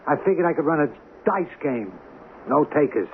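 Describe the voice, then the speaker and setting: An easygoing wise-guy hustler in a 1970s radio drama. His voice sits fairly high, full of streetwise slang and protest. The sound is thin and narrow, with faint noise. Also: animated, moderate pace. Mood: defensive, coaxing.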